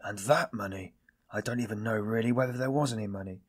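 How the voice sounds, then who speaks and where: A man playing a weary, self-loathing man in audiobook dialogue, confessing in a brooding, bitter, halting manner. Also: fairly low pitch, breathy edge. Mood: musing, subdued.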